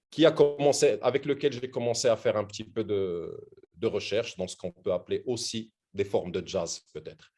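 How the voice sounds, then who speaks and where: A man from Morocco, speaking in a mid-range voice on a panel. He recalls things at an unhurried, reflective pace and often stops to search for the right word. He comes through a video call link. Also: medium pitch, darkish tone. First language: Arabic. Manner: reflective, anecdotal, searching for words. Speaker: musician on a panel. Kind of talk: round-table talk.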